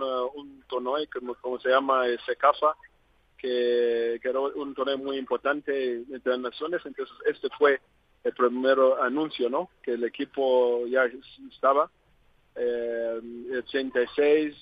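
A man from Zambia, speaking Spanish as a second language, answers interview questions haltingly with many fillers. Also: mid-range voice, no echo, highs cut off. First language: not Spanish. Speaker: interview guest. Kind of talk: phone interview.

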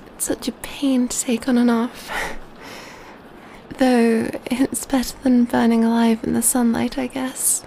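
A woman playing a gentle, doting female vampire in an ASMR roleplay, speaking softly and tenderly into a close mic, often near a whisper. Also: on the high side, level tone, unhurried, vocal fry, slight background noise, low-quality recording. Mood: tender, playful. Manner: tender, cooing, soothing, often dropping to whispers. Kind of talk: ASMR roleplay, soft intimate speech.

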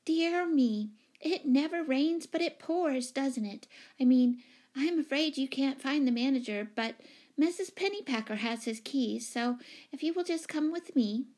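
A woman voicing a timid, flustered small elderly lady, squeaky, fluttery and apologetic, in a storybook reading. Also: a little slow, a little mellow.

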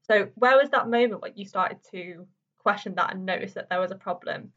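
Halting young woman, distant dull mic. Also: medium pitch, a bit bright. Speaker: podcast host. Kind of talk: casual interview chat.

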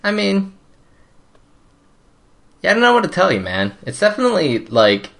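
A man's voice: sits high, wildly swooping pitch, moderate pace, vocal fry, fairly bright tone. A young man whose first language is Cantonese, chatting casually in a laid-back way, full of fillers and hedges. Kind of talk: casual chat.

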